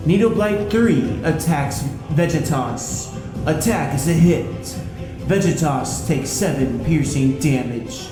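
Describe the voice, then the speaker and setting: Guy game master narrating a fantasy quest, slow, echoey with music under. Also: fairly high pitch. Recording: roomy echo, music behind.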